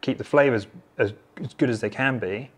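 A man's voice: medium pitch, normal speed, breathy edge. A man in his thirties, a brewer, chatting casually at a table in a brewery taproom, rambling a little with restarts. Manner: relaxed, rambling, many restarts.

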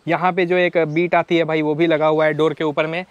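Young host, higher-pitched, chatty, slips in English, lapel mic outdoors. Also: male voice, level tone, a little fast, dry recording, muffled recording. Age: young adult. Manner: chatty, mixes English phrases, addresses viewers as brothers. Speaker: car vlogger host. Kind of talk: casual walkaround car showcase. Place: outdoor used-car lot. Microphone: clip-on lapel mic.

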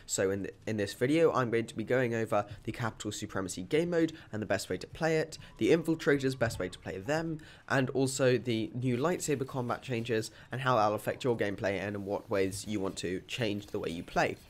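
A man with a sore throat giving a quick, upbeat voice-over guide to a video game. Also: medium pitch. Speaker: gaming YouTuber.

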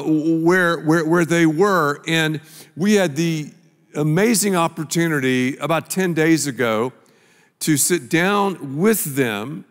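An older man, a pastor, telling a story in a stage sermon dialogue on a large church stage with a handheld mic. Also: fairly high pitch. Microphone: handheld microphone. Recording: long hall reverb.

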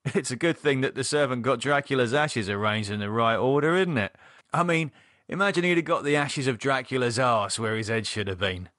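A man's voice: medium pitch, a little fast, a bit bright, no room sound, clean studio sound, natural voice. A man voicing an irritated, sarcastic, no-nonsense man with a British accent and a dismissive, colloquial manner, as in an audiobook. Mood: mocking. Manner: dismissive, mocking, exasperated, colloquial. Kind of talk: audiobook character dialogue.

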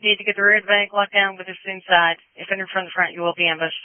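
Male police dispatcher on a tinny radio, flat even tone, short clipped relays. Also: high-pitched, racing, smooth, no room sound. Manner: terse, repeats reports back. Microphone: radio transmission.

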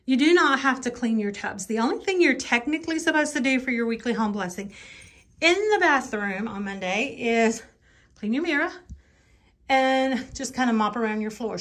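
A woman talking casually in a home vlog, rambling and correcting herself in a conversational way as she goes. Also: a little high. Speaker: home and cleaning vlogger. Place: rooms inside a house, bedroom and bathroom.

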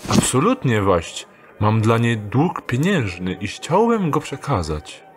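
Man acting a courtly sly thief, lively intonation. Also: medium pitch, slow pace, over music. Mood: smoothly deferential. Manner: formal, polite, archaic courtly phrasing. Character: sly male thief posing as a courteous gentleman messenger. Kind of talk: audio drama acting.

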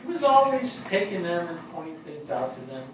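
An older man playing a grieving, plain-spoken fire captain in a staged play reading, halting and weary. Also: high voice, sing-song, a bit bright. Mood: fond, reminiscing. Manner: halting, hesitant delivery. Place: small theatre stage before a seated audience. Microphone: distant camera microphone. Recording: very echoey hall, far microphone, noisy.

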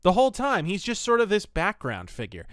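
Guy doing a podcast review, voice a bit on the high side, really dry sound, chatty and opinionated. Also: wildly swooping pitch, fairly quick, dry recording. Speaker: podcast host reviewing pulp fiction. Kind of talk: casual review commentary.

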